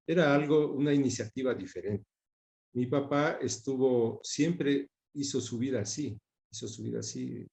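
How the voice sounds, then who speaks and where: A man in his sixties with an Ecuadorian accent from Quito, speaking in a soft, mellow tone at an average pitch. He answers in a reflective, conversational interview style, measured, often pausing and repeating a word as he looks for the next one. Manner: measured, pausing and repeating words while searching for them. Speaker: interview guest recalling his father's career.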